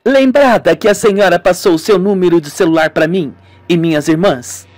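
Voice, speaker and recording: Man putting on an eager, high-pitched young woman. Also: normal speed, darkish tone, no echo, faint music behind, lightly acted. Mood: eager, coaxing. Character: young Japanese woman, bossy, eager, scheming sister. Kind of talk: radio melodrama acting.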